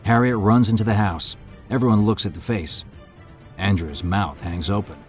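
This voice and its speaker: A man reading scene directions in a steady, matter-of-fact way. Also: lowish voice, moderate pace, soft background music. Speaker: narrator. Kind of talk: scripted narration of stage directions.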